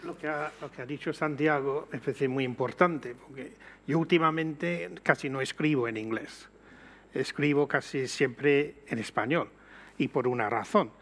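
An older man from Britain with an English accent and English as his first language, speaking measured and explanatory as a panel guest on an auditorium stage. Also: medium pitch, a bit bright. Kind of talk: panel discussion. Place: auditorium stage before an audience.

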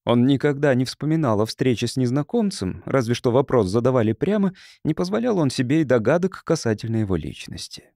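A man's voice: medium pitch, dark tone, no room sound, clean studio sound. A man narrating an audiobook in a scripted literary reading style.

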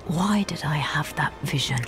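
A man's voice: on the high side, normal speed, lightly acted. An actor playing a sombre, determined male hero in a video game, restrained and grave. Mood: troubled. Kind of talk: dramatic video game acting. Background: game music.

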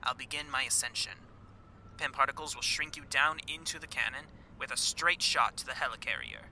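Commanding villain mastermind, male actor: gravelly, piercingly tense, mid-pitched, for superhero audio roleplay. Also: sing-song, brisk, bright, forceful tone, slight background noise, exaggerated voice, rough recording. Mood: commanding, plotting. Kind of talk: ASMR roleplay audio drama.

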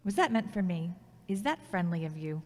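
A woman playing a confident, ambitious radio journalist with a teasing, warm manner in an audio drama. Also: fairly low pitch, lively intonation, brisk. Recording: echoey hall-like reverb.

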